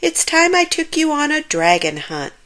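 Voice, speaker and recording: A woman's voice: high voice, sing-song, moderate pace, a bit bright, voice pushed up. A woman voicing an adventurous, hearty old grandfather in a storybook, with a theatrical, emphatic manner. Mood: gleeful. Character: adventurous, hearty old grandfather, confidently oblivious, fond of tales. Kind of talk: storybook character voice.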